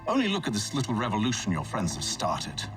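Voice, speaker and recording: An actor in a video game playing a haughty older Templar leader, dry, formal and condescending. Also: male voice, lowish voice, huge pitch swings, fast, far from the mic, over music. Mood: disdainful. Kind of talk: video game cutscene acting.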